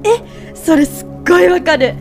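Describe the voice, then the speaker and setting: Woman acting as dreamy girlfriend type in a homemade radio romance, super high voice, gushy, swoopy. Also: expressive, moderate pace, over music. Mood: dreamy, delighted. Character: sweet, dreamy, excitable young woman in love. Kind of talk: amateur radio drama acting.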